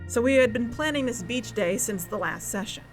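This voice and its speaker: A woman acting a guarded, thoughtful young investigator in a naturalistic audio drama, speaking in a measured, hesitant, introspective way. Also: fairly high pitch, animated, faint music behind, low-quality recording. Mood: reflective, weary. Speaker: podcast narrator and lead character. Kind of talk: naturalistic audio drama, reflective narration and dialogue.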